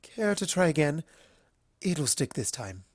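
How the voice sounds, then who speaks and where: A man voicing a reserved, regal alicorn princess with a hesitant, soft-spoken manner in a story reading. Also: a little high, sing-song, a little slow, no room sound, studio quality. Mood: sheepish. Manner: hesitant, quiet.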